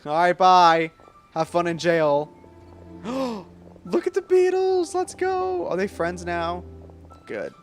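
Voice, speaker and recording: Lively higher-pitched male game streamer. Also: takes it slowly, pauses often. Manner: jokey, giggly, slangy. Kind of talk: casual playthrough commentary. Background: game music.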